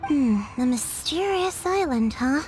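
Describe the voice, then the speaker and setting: Game tribe chief woman, high lilting voice, polite and formal, faint music behind. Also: animated. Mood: thoughtful. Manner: formal, measured explaining. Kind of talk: video game cutscene acting.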